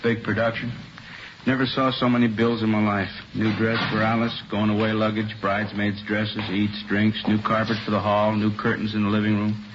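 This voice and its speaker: A man's voice: on the low side, little pitch movement, moderate pace, close to an everyday voice. An actor playing a good-natured, grumbling middle-aged policeman in a 1950s radio drama, terse and folksy. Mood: chatty, grumbling. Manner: terse, folksy, drops words. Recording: muffled, slightly noisy old radio recording.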